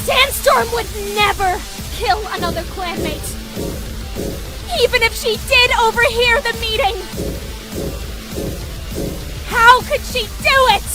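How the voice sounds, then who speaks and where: Woman voicing a shrieking, frantic she-cat warrior, extremely high. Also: slow, long pauses. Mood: frantic, outraged. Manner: shrill, repetitive, frantic shouting. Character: distraught female warrior cat, frantically defensive of her kin. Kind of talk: animated fan dub, melodramatic acting. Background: music.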